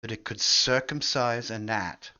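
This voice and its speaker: A man's voice: fairly low pitch, a little slow, bright, forceful tone, dry recording, highs cut off. A man co-hosting a casual, absurd chat show, joking dryly with mock-dramatic asides. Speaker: co-host. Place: home setup, streamed show.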